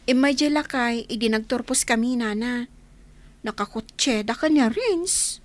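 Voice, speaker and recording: A woman acts an earnest young villager fretting through a radio drama. The voice is high and plaintive, with an Ilocano accent. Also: unhurried, lightly acted. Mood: explaining. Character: worried young village companion waiting at the hospital.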